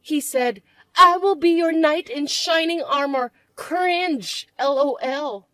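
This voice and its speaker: A woman voicing a spoiled young stepsister who taunts and bosses in a shrill, mocking way. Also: slow pace, pushed and bright, no echo, exaggerated voice. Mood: taunting.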